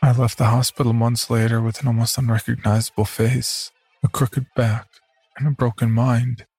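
A man plays a broken, haunted young man telling his horror story as a last warning. His voice is even and gravelly, somber and full of dread. Clear music plays underneath. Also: mid-range voice, moderate pace, dry recording. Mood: bleak, resigned. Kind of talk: first-person horror story narration.

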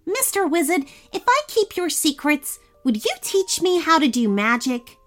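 A woman's voice: high-pitched, very animated, moderate pace, fairly bright tone, soft background music, pitched above the performer's own voice. A woman voicing a polite, eager young schoolboy in a children's story, earnest and easily flustered, with little stammers.

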